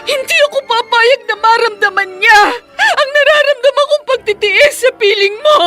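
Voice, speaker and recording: A woman's voice: very high-pitched, normal speed, bright, forceful tone, faint music behind. An actress playing an anxious, long-suffering young wife and mother in a radio drama, plaintive and emotional, with a Filipino accent. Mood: anguished defiance.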